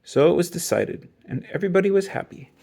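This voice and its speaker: A man reading a children's story aloud in an expressive, dramatic and emphatic way. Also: high-pitched, fast, gravelly, husky. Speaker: storybook reader.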